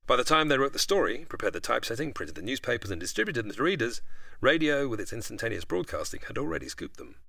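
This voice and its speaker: A man's voice: mid-range voice, very animated, fast, creaky, bright, forceful tone, no echo, studio quality. A man giving prepared, articulate explanations as an expert guest, with a storyteller's delivery. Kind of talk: explanatory interview, largely prepared narration.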